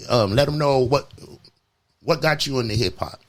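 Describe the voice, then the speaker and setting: A man's voice: medium pitch, moderate pace. Laid-back man in his forties. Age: 40s. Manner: laid-back, slangy, laughs often. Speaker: podcast host. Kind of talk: casual interview chat.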